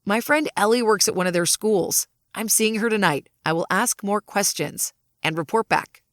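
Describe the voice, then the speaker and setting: A woman's voice: mid-range voice, normal speed, dry recording, clean studio sound, lightly acted. A woman playing an anxious, determined woman investigating a conspiracy, in an audio drama.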